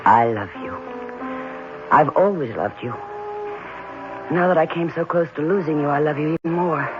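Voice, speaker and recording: A woman's voice: deep voice, normal speed, natural voice. A woman acting in an old-time radio drama, playing a devoted, romantic young fiancée with a theatrical delivery. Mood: adoring. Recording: dull vintage radio recording, music behind.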